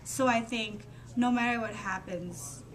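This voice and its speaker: 24 yr old woman, thoughtful and measured, noisy background. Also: medium pitch. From refugee camp in Thailand. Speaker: interviewee, college graduate. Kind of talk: reflective interview answers. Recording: interview with clear background noise.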